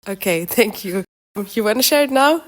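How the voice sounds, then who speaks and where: Slightly hoarse woman hosting a podcast. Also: on the high side, lively intonation, a bit bright. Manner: polite, measured, careful phrasing. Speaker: podcast host and interviewer. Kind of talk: scripted podcast intro and interview questions.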